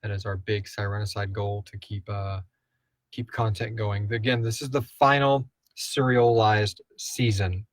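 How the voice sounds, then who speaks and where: A man with a fairly low voice hosts a casual live Q&A. He rambles along informally with a jokey, slightly sweary streak. The sound is dry, with very little room to it. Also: fairly even intonation, measured pace, no room sound. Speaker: co-host and producer of an audio drama podcast. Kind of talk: casual live Q&A chat with listener questions read aloud.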